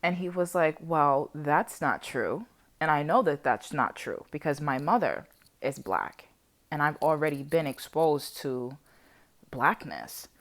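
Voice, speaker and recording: A woman in her twenties talking casually and off the cuff to viewers, with an animated, digressive, conversational way of speaking. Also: sits low. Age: 20s. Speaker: vlogger sharing personal reflections on history. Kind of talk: casual unscripted chat to viewers.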